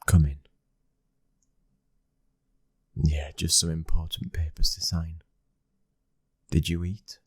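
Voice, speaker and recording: A British man acting a commanding mafia boss's son, a stern but loving husband, in an intimate ASMR roleplay with a husky voice. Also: a bass voice, exaggerated voice. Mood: tender.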